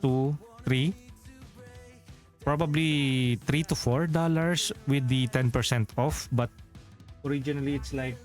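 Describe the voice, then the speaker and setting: Filipino-accented male streamer, mid pitch, loose rambling chat, faint music under. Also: steady tone, unhurried, pauses often. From Philippines. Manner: rambling, self-correcting, chuckles often. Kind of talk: casual livestream chat.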